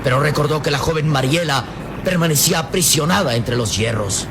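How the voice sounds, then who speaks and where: A man with a Latin American Spanish accent narrating an old-fashioned radio serial dramatically, solemn and suspenseful, with deliberate phrasing and pauses. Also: a little high, natural voice. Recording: old radio drama with storm noise behind.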